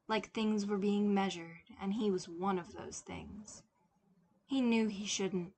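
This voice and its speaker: A woman reading scripted fiction as a narrator, expressively and dramatically, with pauses. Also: mid-range voice. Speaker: audiobook narrator. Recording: clear background noise.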